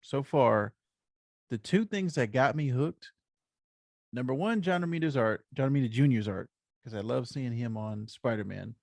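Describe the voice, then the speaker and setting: A man's voice: mid-range voice, expressive, normal speed, creaky, darkish tone, no room sound, clean studio sound. An American man talking casually as a comics podcast co-host, explaining things in an agreeable way. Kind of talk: casual chat.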